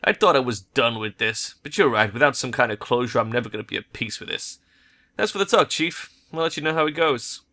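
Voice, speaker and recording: A heartbroken cyberpunk hacker played by a man reading aloud, with a bright, forward voice at an ordinary male pitch. He is a hurt, worn-down teammate in a video game, weighed down by loss and debt. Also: lively intonation, brisk. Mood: resigned.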